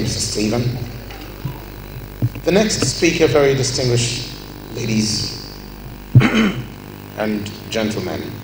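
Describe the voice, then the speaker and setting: A man, formally introducing a speaker as master of ceremonies and stumbling now and then as he reads. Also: deep voice. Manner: formal, ceremonious, stumbles over words while reading. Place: large conference hall. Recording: echoey hall, distant voice, music bleed.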